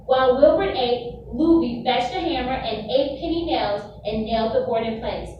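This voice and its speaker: A woman's voice: fairly high pitch, moderate pace, dull audio. A woman teacher reading aloud and leading a discussion with her class. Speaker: middle school teacher. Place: indoor classroom. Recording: very echoey classroom, distant mic, noisy.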